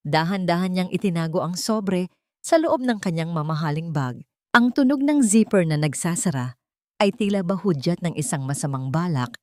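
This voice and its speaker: Female audiobook narrator, Filipino accent, lowish pitch, dramatic scripted storytelling, dry studio-like sound. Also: darkish tone, no room sound.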